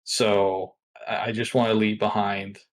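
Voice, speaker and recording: A fairly low-pitched middle-aged man, talking as an interview guest. He muses in a loose, rambling way, circling back and restarting his sentences. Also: flat delivery, unhurried. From Norway. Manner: reflective, rambling with many restarts. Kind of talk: casual interview talk.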